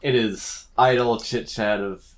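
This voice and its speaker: A man's voice: medium pitch, steady tone, a little slow. A man improvising narration as the game master of a tabletop game.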